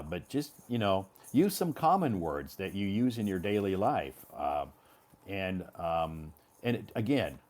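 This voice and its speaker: A low-voiced American man in the role of English teacher. He gives conversational advice in an encouraging way, repeating key points for emphasis. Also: a little mellow.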